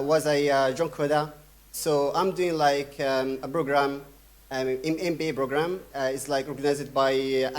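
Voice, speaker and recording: Young male keynote speaker from Sudan, slightly high voice, hesitant delivery full of fillers. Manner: halting, many fillers and restarts. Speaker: conference speaker. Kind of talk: conference keynote talk.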